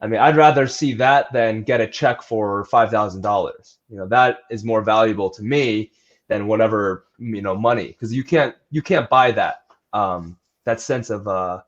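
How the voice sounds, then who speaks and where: A man's voice: lowish voice, normal speed, lo-fi sound. A man speaking as a trainer and coach in a casual interview, in an earnest, conversational way. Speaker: athletic trainer and strength coach being interviewed.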